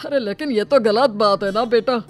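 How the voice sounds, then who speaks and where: A woman's voice: on the high side, moderate pace, faint music behind, close to an everyday voice. A woman acts out an older father-in-law in a storybook family tale. He is kindly and familiar, with a mildly reproving fatherly manner.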